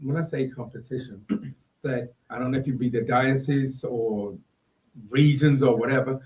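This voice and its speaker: A man's voice: medium pitch, normal speed, darkish tone, rough recording. A man speaking casually as a panelist in a group podcast conversation.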